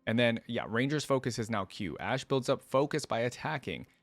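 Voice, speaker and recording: A man's voice: mid-range voice, expressive, a little fast, no echo. A man giving casual, conversational reaction commentary on a video game, rambling with frequent asides.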